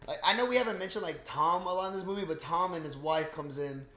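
A man co-hosting a casual, animated movie recap, talking in slangy, profane language and saying "bro" often. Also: fairly high pitch, fast talker. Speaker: podcast co-host. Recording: echoey, roomy sound.

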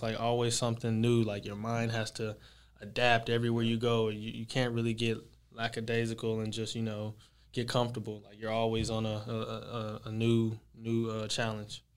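A man's voice: medium pitch, normal speed, smooth. A young man from Texas, a pro basketball player, chatting casually in an interview with lots of fillers. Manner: relaxed, rambling, many fillers.

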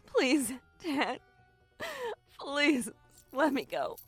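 Terrified young woman captive: crying, pleading, very shrill, halting thriller delivery. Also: sing-song, no room sound, faint music behind, heavily acted. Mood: desperate pleading. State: crying, panicked. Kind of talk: audio thriller drama acting.

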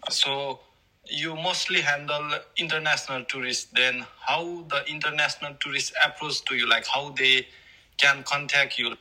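A man's voice: a little high, steady tone, normal speed, bright, forceful tone, poor audio. A young man, hosting a student podcast and putting questions to a guest. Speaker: student podcast host, interviewer.

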